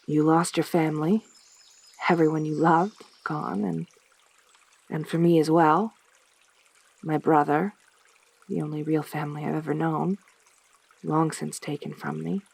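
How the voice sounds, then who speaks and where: A woman acting a slow, halting, lonely centaur girl. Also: deep voice, level tone, gravelly, darkish tone, husky, dry recording, exaggerated voice. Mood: sombre, tender. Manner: halting, stammering, hesitant delivery. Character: lonely, possessive yandere centaur girl, awkward and clingy. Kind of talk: soft-spoken ASMR roleplay acting.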